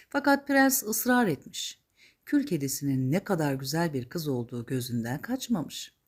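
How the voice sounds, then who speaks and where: A woman with a fairly low voice tells a children's fairy tale from a script. Her delivery is expressive and dramatic, with a very lively rise and fall in pitch. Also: slightly rough. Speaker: storyteller.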